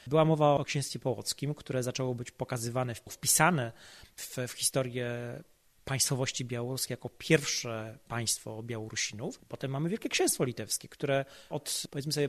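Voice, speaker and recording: A man with a mid-pitched voice talks through analysis as an expert podcast guest. His delivery is measured and explanatory, with weight on key words.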